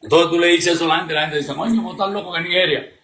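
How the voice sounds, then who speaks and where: A man's voice: on the high side, fast talker, slight background noise. Blunt man in an echoey room. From Cuba. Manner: blunt, swearing, animated. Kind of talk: casual religious debate.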